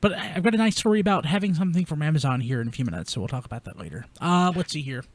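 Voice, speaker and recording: Male podcast host, lively swooping intonation, fairly high voice, informal banter. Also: racing, dry recording. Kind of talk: casual chat about collectible toys.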